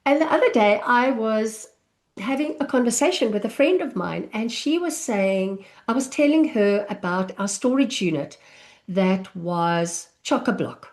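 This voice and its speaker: South African woman, 50s, parent coach. Slow, friendly, conversational delivery over a video call. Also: mid-range voice, expressive. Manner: warm, unhurried, chatty with small laughs. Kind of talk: live online masterclass.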